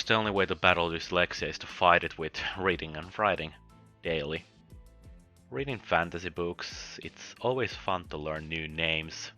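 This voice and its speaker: Deep-voiced man, wry book reviewer. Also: pushed and bright. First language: Finnish. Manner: wry, joking, with frequent chuckles. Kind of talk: scripted book review narration.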